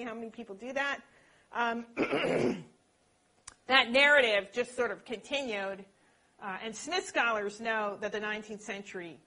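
A woman lecturer with a bright, forceful tone and a voice pitched a touch high. She talks in an animated way and keeps checking in with her listeners as she explains. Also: low-quality recording. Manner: animated, peppers points with "okay?" tags. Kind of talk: lecture and Q&A answers.

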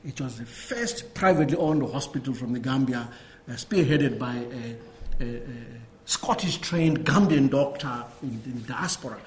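A middle-aged man giving a talk and answering questions, halting often and repeating words. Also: mid-range voice, a little hiss or hum. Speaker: guest speaker. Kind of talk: lecture and Q&A answers.

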